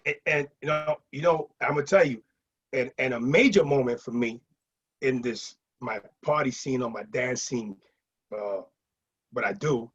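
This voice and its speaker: A middle-aged man casually telling stories in an animated way, acting out what people said. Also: mid-range voice, moderate pace, husky. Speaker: guest sharing nightlife memories. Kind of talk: casual anecdotal storytelling. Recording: muffled lo-fi audio.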